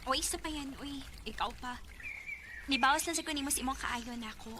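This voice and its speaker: An actress with a Cebuano Filipino accent playing a friendly, helpful young office coworker in a radio drama. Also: female voice, high voice, a little fast, background noise, close to an everyday voice. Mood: modest.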